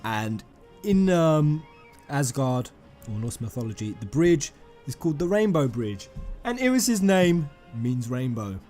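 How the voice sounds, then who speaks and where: A man hosting a fan theory video, rambling and emphatic, addressing his viewers directly in an excited commentary style. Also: medium pitch, unhurried. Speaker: gaming YouTuber, host. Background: music under the voice.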